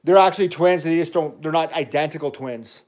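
An enthusiastic middle-aged man hosts a casual toy livestream, chatting and rambling about his train collection. His voice sits a little high for a man. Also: a little fast, darkish tone. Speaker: toy channel host, dad.

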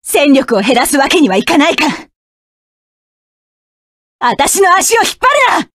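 A woman's voice: sits high, sing-song, measured pace, dry recording, studio quality, exaggerated voice. An actress voicing a fierce, cold young female mecha pilot in a game, giving curt, commanding battle lines. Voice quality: sharp, commanding.

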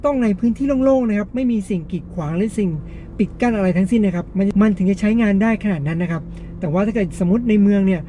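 A man's voice: sits high, fast, mellow, slight background noise. A man hosting a casual gadget test, explaining things step by step to viewers in a polite way. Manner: explanatory, frequent polite particles. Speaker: gadget review host.

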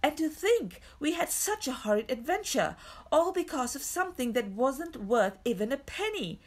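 A woman as an earnest, high-voiced storybook girl. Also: big pitch swings, moderate pace. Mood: upset.

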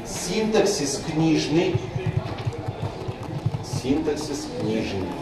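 A male lecturer giving a university lecture, pausing to repeat key terms slowly and adding conversational asides. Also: on the high side, sing-song, over loud music. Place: lecture hall. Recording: far-off mic in an echoey lecture room with noise.

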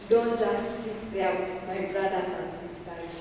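Young female preacher, Kenyan English accent, exhorting, slowing for emphasis; handheld mic, long church reverb, faint ambience. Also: fairly low pitch, darkish tone, slight background noise. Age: young adult. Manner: earnest exhorting, slows for emphasis, gestures while speaking. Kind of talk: sermon. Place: church hall, indoors. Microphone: handheld mic, camera from a distance. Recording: echoey hall from far off.

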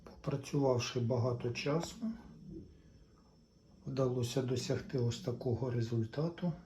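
A man's voice: mid-range voice, fairly even intonation, moderate pace, dark tone, a little hiss or hum. A man giving casual hands-on commentary, chatting to viewers and thinking aloud as he works. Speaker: host of a tape recorder restoration video.

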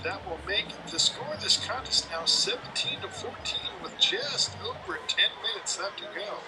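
A man calling play-by-play for a high school football game, quick and excited on big plays. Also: music in the background. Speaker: play-by-play announcer. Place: outdoor high school football stadium at night.

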